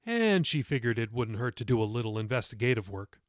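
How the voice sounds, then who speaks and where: A man's voice: mid-range voice, big pitch swings, a little fast, no echo, studio quality. A man reading his own novel as scripted audiobook narration.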